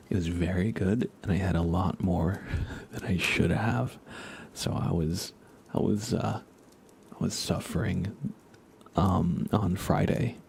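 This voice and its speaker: A young man softly murmuring on an ASMR gaming livestream in an unhurried, casual way, often close to a whisper, near the mic. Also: sits low, a little hiss or hum. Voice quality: soft, hushed, often slipping into breathy whisper. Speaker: livestream host.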